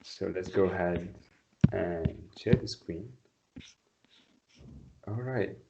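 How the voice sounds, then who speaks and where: A man's voice: sits low, slow pace, gravelly, very noisy. A man from Tunisia tutoring a student one-on-one in an online lesson, patient and encouraging, often repeating his praise. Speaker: Arabic tutor. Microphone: video call. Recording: dull video call audio.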